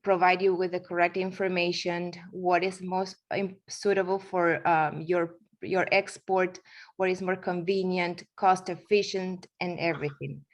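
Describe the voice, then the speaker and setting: Argentine woman in her forties, lower voice, guest speaker presenting in English, hesitant with fillers. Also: flat delivery. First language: Spanish. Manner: halting, frequent fillers and restarts. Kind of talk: webinar presentation.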